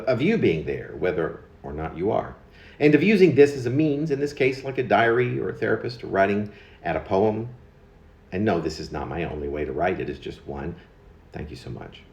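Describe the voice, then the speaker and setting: A man in his fifties reading his own confessional poem aloud, in a halting way with pauses mid-phrase and stressed words. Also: on the low side, gravelly. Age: 50s. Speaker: poet. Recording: dull lo-fi recording in echoey room.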